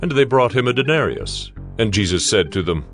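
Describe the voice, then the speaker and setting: A man's voice: lowish voice, very animated, a little fast, soft background music. A man giving scripted narration for a dramatized audio Bible.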